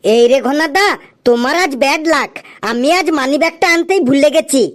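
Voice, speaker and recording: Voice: sits high, fairly quick, natural voice. An actor playing a wheedling, cheeky freeloading customer in a comedy cartoon, teasing in a mock-earnest way. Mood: teasing, stalling.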